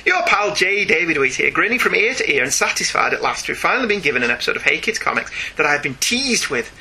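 Bright, higher-pitched man chatting on a podcast. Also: big pitch swings, quick pace, husky. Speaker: podcast co-host. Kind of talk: casual chat and reading out listener emails. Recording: faint background noise.

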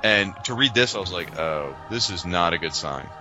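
Man, podcast co-host, casual animated fan talk, lower pitch, very bright forceful tone. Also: moderate pace, soft background music, low-quality recording.

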